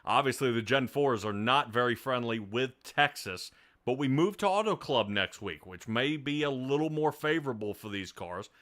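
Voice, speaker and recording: A man's voice: medium pitch, lively intonation, normal speed, fairly bright tone. A man hosting a sim racing broadcast, interviewing a driver and rambling upbeat commentary with many restarts. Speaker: race broadcast host.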